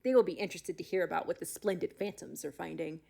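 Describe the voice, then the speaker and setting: An actress playing a brisk, skeptical but helpful animal expert, speaking matter-of-factly in an audio drama. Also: female voice, fairly low pitch, creaky, mellow. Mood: dismissive, polite. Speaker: outside expert being interviewed.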